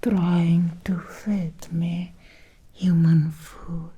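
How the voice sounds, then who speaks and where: Woman doing obsessed victorian vampire girl, asmr roleplay, super soft dark whisper, lowish, drawn out and intimate. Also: little pitch movement, highs cut off, a little hiss or hum. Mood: tender, intimate. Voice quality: breathy, whispered voice.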